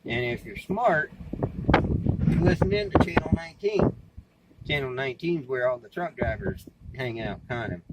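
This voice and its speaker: An American man in casual, rambling vlog talk, plain-spoken and folksy, often repeating his phrases. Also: mid-range voice. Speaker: vlogger and CB radio hobbyist. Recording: clearly noisy background, amateur recording.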